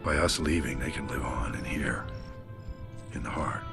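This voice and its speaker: A gruff, resolute captain from a video game, with an extremely deep voice. He talks in terse, commanding phrases while loud music plays over him. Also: normal speed. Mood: consoling. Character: gruff, resolute human military captain, male. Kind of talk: video game cutscene voice acting.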